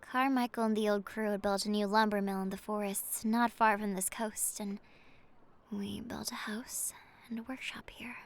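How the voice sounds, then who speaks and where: A woman playing a solemn, devoted sea sorceress in an audio drama, with a tender manner that often softens toward a whisper. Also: medium pitch, fairly even intonation, normal speed, close to an everyday voice. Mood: gentle, nostalgic. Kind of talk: cinematic audio drama acting.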